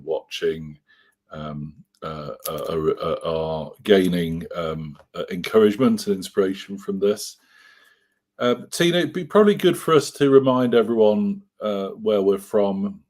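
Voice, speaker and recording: A man co-hosting a casual faith conversation, speaking haltingly with very many fillers. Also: low voice, darkish tone. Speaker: co-host.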